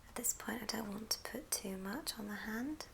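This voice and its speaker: A woman whispering breathily in a gentle ASMR craft tutorial, explaining step by step. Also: mid-range voice, lively intonation, moderate pace, creaky, a little hiss or hum.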